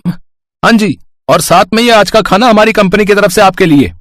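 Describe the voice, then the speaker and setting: A man's voice: sits high, moderate pace, a bit bright, no echo, clean studio sound, pitched above the performer's own voice. A man voicing a polite, upbeat lottery official making announcements in a cartoon story. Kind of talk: animated moral story dialogue.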